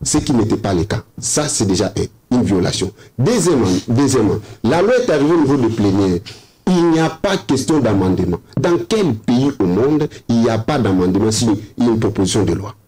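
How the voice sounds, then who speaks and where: A man's voice: medium pitch, big pitch swings, fairly quick, mellow. A man with a Congolese accent arguing as a panelist in a televised political debate, emphatic and repeating himself for force. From Democratic Republic of the Congo. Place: TV studio round table. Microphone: desk microphone.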